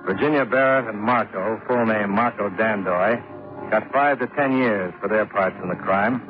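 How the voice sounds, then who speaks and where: Tinny old-radio hard-boiled American detective played by an actor. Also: male voice, on the low side, normal speed, close to an everyday voice. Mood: wry narration. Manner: clipped, wry, matter-of-fact. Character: hard-boiled, wry male insurance investigator. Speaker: lead actor and narrator. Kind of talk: 1950s radio detective drama narration. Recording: tinny old 1950s radio recording, music behind.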